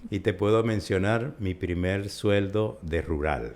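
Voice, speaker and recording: Older Venezuelan urologist, low-pitched, chatty raconteur. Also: male voice, unhurried, a little mellow. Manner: chatty storyteller, recounts at length. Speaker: urologist guest. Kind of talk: conversational interview.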